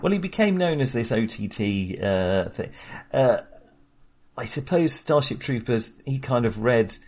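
A man's voice: mid-range voice, lively intonation, unhurried, darkish tone. A man co-hosting a casual film podcast, talking with many fillers and restarts. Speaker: podcast co-host.

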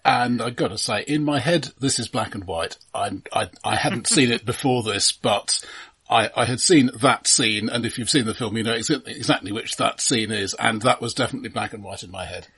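A man's voice: mid-range voice, moderate pace, a bit bright, husky. A man co-hosting a podcast, talking casually about films in a conversational way. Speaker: podcast co-host. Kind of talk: casual film discussion.

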